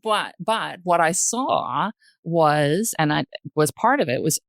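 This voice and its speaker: A woman's voice: deep voice, lively intonation, takes it slowly, no room sound, studio quality. An older woman speaks as a podcast guest in a reflective, emphatic conversational way, often checking in with "right?". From Canada. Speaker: podcast guest, retired journalist. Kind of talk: conversational interview.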